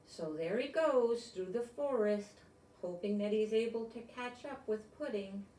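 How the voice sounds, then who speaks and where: Slow-paced older woman reading stories, roomy sound. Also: mid-range voice. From United States. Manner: gentle, simple grandmotherly explaining to children. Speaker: storyteller reading a picture book. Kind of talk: read-aloud storytelling with chatty asides. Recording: roomy echo, distant microphone.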